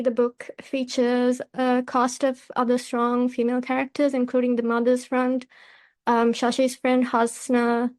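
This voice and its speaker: High-voiced woman hosting a podcast. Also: a little mellow. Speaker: podcast host. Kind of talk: polite interview hosting, prepared questions.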